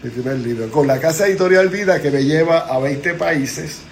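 A man's voice: on the high side, normal speed, a little hiss or hum. A man in his seventies from Puerto Rico with a Puerto Rican accent, a motivational speaker telling his life story as a guest in a conversational interview. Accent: Puerto Rican Spanish. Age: 70s.

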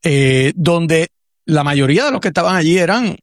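Puerto Rican male commentator, professor type, higher-pitched, reflective and digressive, dry close sound. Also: expressive, normal speed, no echo. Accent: Puerto Rican Spanish. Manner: reflective, digressive, many restarts and fillers. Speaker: radio co-host, commentator. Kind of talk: casual talk-show commentary.

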